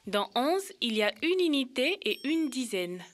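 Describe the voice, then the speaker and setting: A woman's voice: high voice, sing-song, measured pace, a bit bright, faint music behind, exaggerated voice. A woman voicing an eager young girl in a French educational children's cartoon, explaining things step by step. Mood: concentrating. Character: Kibena, eager young girl learning to count.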